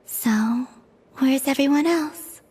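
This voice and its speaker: An actress playing a gentle young woman in a game cutscene, speaking softly and unhurriedly, at times breathily. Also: high-pitched, slow, slight background noise. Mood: calm, warm. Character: gentle, soft-spoken young woman, a moon maiden newly named. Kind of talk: video game cutscene acting.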